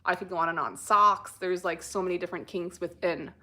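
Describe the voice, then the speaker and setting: An American woman on a casual live stream, reading out viewer comments and answering them in a relaxed, unhurried way with plenty of fillers. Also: lowish voice. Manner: reads viewer comments aloud.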